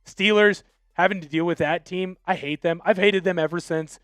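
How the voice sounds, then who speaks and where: A man's voice: high-pitched, fairly even intonation, moderate pace, fairly bright tone, no echo. A young man from Washington State hosting a live football talk stream, opinionated and fired up as he reads out viewer texts. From Washington State, USA. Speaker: sports show host.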